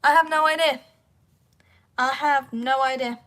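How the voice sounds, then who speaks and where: A high-voiced woman hosts her own livestream, hoarse and croaky from a sore throat. She rambles at an unhurried pace, talking half to her viewers and half to herself as she struggles with her devices. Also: steady tone, fairly bright tone. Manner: rambling, talks to herself while fighting tech. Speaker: voice actor hosting a livestream Q&A. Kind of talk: casual livestream chat.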